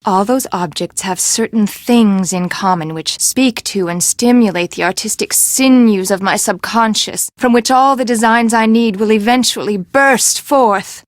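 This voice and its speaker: An actress playing an imperious, eccentric fashion designer in a video game, with a haughty, dramatic, emphatic delivery. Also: female voice, mid-range voice, a little slow, few pauses, dry recording, clean studio sound, lightly acted. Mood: bossy.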